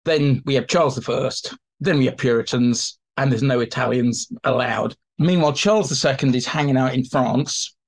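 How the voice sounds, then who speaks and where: A man's voice: mid-range voice, normal speed, few pauses, rough recording. A man speaking as an expert guest, explaining theatre history in an informal, anecdotal way. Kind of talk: conversational explanation in a history interview.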